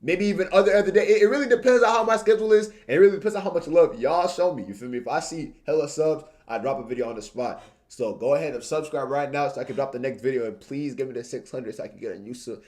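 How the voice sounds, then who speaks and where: A man's voice: on the high side, quick pace, few pauses, a little mellow. Slangy young man streaming casually. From Tanzania. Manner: informal, repeats phrases for emphasis. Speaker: streamer and video creator. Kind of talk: casual livestream chat, slangy.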